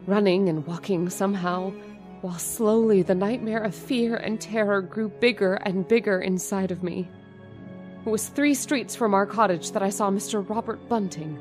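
A woman's voice: mid-range voice, a little mellow, close to an everyday voice. A woman playing a frightened, protective landlady and mother in an old-time radio horror drama, urgent and dramatic.

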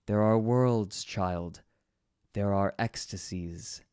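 A man voicing a dignified female alpha wolf who speaks slowly and alluringly, coaxing and hypnotic. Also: lowish voice, a little mellow, clean tone, no room sound, studio quality.

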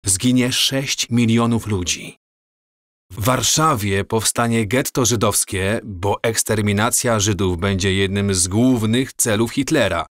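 A man playing an earnest time traveler in an audio drama, speaking gravely and in a measured, explanatory way. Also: fairly low pitch, a bit bright, no room sound, clean studio sound. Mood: grave, urgent. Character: earnest married time traveler warning of coming catastrophe.

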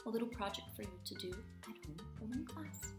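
A woman in her thirties, an English teacher reading aloud to young children, dramatic and deliberate, with hushed stretches. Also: medium pitch, animated, over loud music. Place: small room at home, indoors. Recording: roomy indoor echo.

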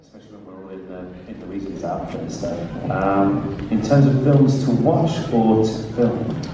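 A man's voice: low-pitched, normal speed, muffled recording. A young man chatting casually on stage as a convention guest, answering fan questions into a handheld mic. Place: large convention hall stage. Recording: echoey hall from far off, crowd noise.

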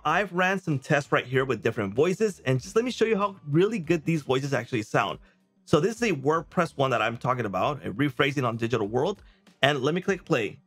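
Casual man demoing software over background music. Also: fairly high pitch. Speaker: presenter of software review videos. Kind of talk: casual software demo to camera. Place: small indoor home studio.

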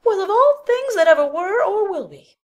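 A woman's voice: extremely high pitch, sing-song, normal speed. A woman playing an opinionated, gossipy older village busybody in a dramatic audiobook, with a blunt, scolding, folksy delivery. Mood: astonished, pitying.

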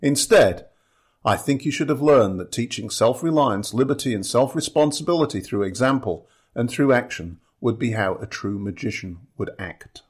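A male podcast host with a husky, slightly rough voice at a middle pitch. He reads a reflective monologue earnestly and gives firm opinions as he goes. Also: animated, normal speed.

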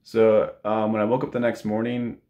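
A man in his late twenties with a somewhat low voice speaks informally to camera at home. His delivery is casual and earnest, like a personal video diary recorded in a room. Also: little pitch movement, normal speed, lo-fi sound. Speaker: vlogger.

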